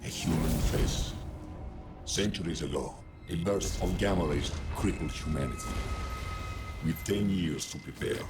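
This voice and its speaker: A solemn storyteller for a science fiction game. His voice is very low and grave, with measured, portentous delivery. Loud music runs under every phrase. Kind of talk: scripted cinematic narration.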